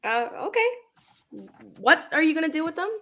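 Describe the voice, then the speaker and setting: Woman playing a lively, chatty shop clerk. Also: sits high, normal speed. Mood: curious, casual. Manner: casual, chirpy. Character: chatty, friendly young thrift store clerk. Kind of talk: dramatic script reading.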